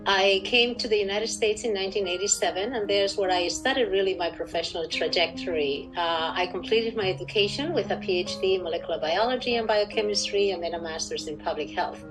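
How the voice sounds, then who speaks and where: A woman's voice: medium pitch, a little fast, hardly any pauses, poor audio. Spanish-accented woman in her sixties over music. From Spain. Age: 60s. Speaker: interview guest, clinical research director. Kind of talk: formal interview answers.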